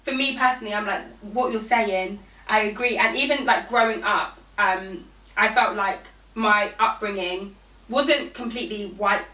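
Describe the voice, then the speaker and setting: A woman's voice: medium pitch, normal speed, bright, forceful tone, echoey room, far from the mic, rough recording. A young woman, chatting casually on a podcast and telling stories with many fillers. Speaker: podcast co-host. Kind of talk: casual chat.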